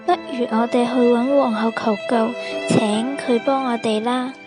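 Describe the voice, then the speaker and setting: A woman's voice: fairly high pitch, fairly even intonation, measured pace, over music, lightly acted. A woman playing a naive, hapless petty thief in a stage play, guileless and complaining. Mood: hesitant.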